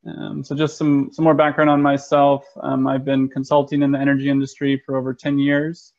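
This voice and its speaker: An American man, an energy consultant, giving a webinar presentation in a measured way with frequent ums and restarts. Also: medium pitch, completely flat delivery, vocal fry, darkish tone. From United States. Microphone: video call. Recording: muffled lo-fi web call audio.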